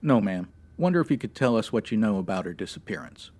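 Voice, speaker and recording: An actor playing a no-nonsense 1950s American police sergeant, deadpan and clipped, in old radio detective style. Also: male voice, fairly low pitch, fast talker. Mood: businesslike, questioning.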